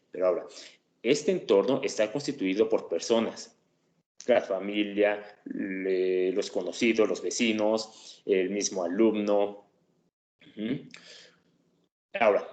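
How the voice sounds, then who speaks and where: A man's voice: on the low side, a little mellow, reverberant. A man explaining an education topic in a lecture, talking casually and checking in with tag questions. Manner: conversational, checks in with frequent tag questions and fillers. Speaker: teacher presenting a class topic.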